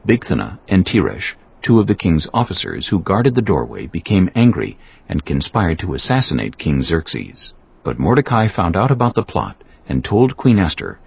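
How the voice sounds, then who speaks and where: A man narrating a dramatized Bible reading in a formal, deliberate storytelling manner. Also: deep voice, vocal fry, breathy edge. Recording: muffled, dull audio under music. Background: prominent background music.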